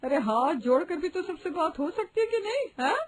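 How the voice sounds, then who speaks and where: A woman's voice: very high voice, very animated, moderate pace, slight background noise. A woman voicing a prim, disapproving married woman who gossips and laments dramatically in a Hindi moral-story cartoon.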